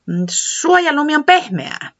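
Nainen esittää pientä, mietteliästä olentoa, joka puhuu epäröiden ja vihjaillen korkealla äänellä. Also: female voice, high-pitched, very animated, muffled recording, pitched above the performer's own voice. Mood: hinting. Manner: hesitant, hinting, wistful.

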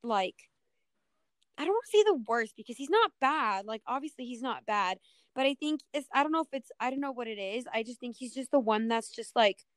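A woman's voice: fairly high pitch, expressive, fairly quick, clear, resonant tone, no room sound, clean studio sound. A young woman co-hosting a podcast in casual chat, talking in a hesitant, rambling way peppered with "like". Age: young adult. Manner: hesitant, rambling, heavy use of "like". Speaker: podcast co-host. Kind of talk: casual panel chat about TV.

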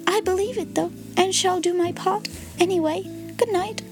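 A kind, cheerful little girl from a storybook, speaking gently and softly, as a woman reading aloud puts her on. Her voice sits very high, pitched up from the narrator's own already high tone, with soft music behind it. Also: steady tone, normal speed. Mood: earnest.

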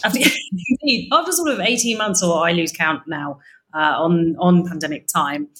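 A woman's voice: on the low side, normal speed, fairly bright tone. Wry woman on a discussion panel.

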